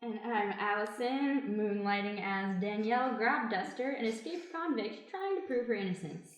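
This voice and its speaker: A woman's voice: fairly high pitch, animated, normal speed. A woman, a guest improv performer, casually introducing herself and her part. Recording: echoey room.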